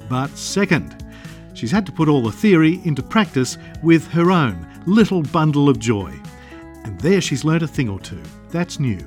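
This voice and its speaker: A man's voice: on the high side, very animated, normal speed, a little mellow, faint music behind. A man hosting a podcast interview, asking questions with playful teasing. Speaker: podcast host.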